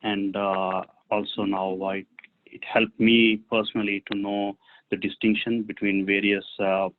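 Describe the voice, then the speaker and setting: A man speaking off the cuff as a business webinar panelist, piecing his phrases together haltingly. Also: fairly low pitch, flat delivery, a little hiss or hum. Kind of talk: unscripted business panel talk. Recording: narrow tinny phone-like line.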